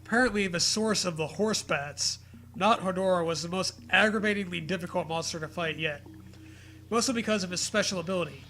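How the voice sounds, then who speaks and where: A man with a high, bright voice reads a story aloud as a comedy co-host. He keeps stepping out of the text for casual, profane riffs. Light music plays behind him. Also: a little fast. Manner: jokey, sweary, breaks off to riff.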